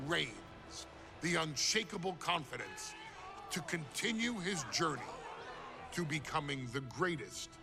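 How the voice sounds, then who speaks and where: A man presents a dramatic scripted showcase with grandiose reverence. His delivery swings widely in pitch and has a gravelly creak, with emphatic pauses between phrases. Music plays behind him. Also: sing-song. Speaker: narrator and presenter of a wrestling showcase. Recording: music under the voice.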